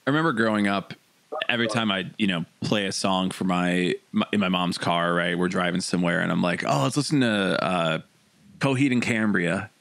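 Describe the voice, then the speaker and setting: A low-voiced man hosts a podcast and tells a story in an easygoing way. His voice sounds dry and close, with no room around it. He swears lightly now and then. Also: fairly quick, vocal fry, a bit bright, dry recording. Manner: loose storytelling, mild swearing. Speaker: podcast host. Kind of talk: casual podcast chat.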